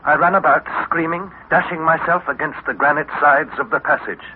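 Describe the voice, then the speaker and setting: An actor playing an earnest young explorer, narrating a radio adventure serial in a deliberate, theatrical manner. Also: male voice, fairly high pitch, husky. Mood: haunted, tense. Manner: deliberate, theatrical, measured delivery. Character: Harry, earnest young explorer narrating his underground ordeal. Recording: muffled, noisy vintage radio recording.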